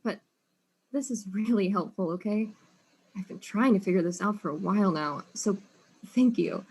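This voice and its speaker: An actress playing a wry, weary detective in an audio drama, speaking casually. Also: female voice, mid-range voice, moderate pace, a little mellow, a little hiss or hum, lightly acted, low-quality recording. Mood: reassuring, wry.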